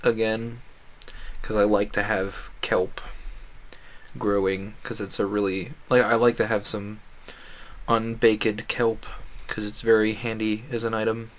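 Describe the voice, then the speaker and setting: A man's voice: lowish voice, flat delivery, measured pace. A man livestreaming a game, chatting casually and thinking aloud in a rambling way. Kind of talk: casual gaming stream chat.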